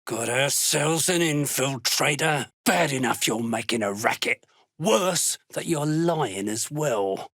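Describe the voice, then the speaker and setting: A gruff dark dwarf slave hunter from a video game, with a gritty, forceful voice that sits a bit high. He is a curt, fanatical cultist who threatens and sneers in a slow, deliberate way. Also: animated, no echo, clean studio sound, heavily acted. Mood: menacing, contemptuous. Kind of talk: video game character voice lines.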